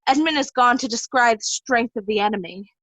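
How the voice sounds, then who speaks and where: A woman's voice: on the high side, brisk, fairly bright tone, dry recording, poor audio. A woman playing a jealous, scheming noblewoman in an amateur Shakespeare reading, probing and imperious. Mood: suspicious. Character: scheming, jealous noblewoman Regan, coolly commanding. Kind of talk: amateur Shakespeare reader's theatre.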